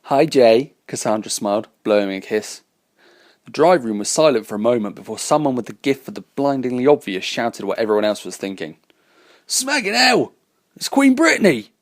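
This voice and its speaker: A man reading a fan-written science fiction story aloud as its narrator, with an even, unhurried delivery. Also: fairly low pitch, no room sound, clean studio sound. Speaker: reader and narrator.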